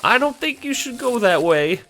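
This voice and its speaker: A timid cat sprite from an audio drama, speaks in a high, lifted voice. He is eager to help but anxious, tripping over his words, with faint music underneath. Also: sing-song, moderate pace, a bit bright, full character voice. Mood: whiny, pleading. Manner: nervous, hesitant, stammering.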